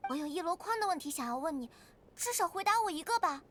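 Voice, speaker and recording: A woman's voice: very high-pitched, lively intonation, fast talker, a bit bright, a little hiss or hum. An actress voicing an earnest, curious and stubborn young winged girl in a Chinese video game dub. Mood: stubborn, insistent.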